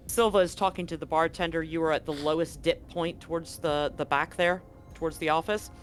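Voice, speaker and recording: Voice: mid-range voice, a bit bright. A game master narrating a tabletop roleplaying game in a conversational way, describing scenes and asking players what they do. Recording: music playing underneath. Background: music.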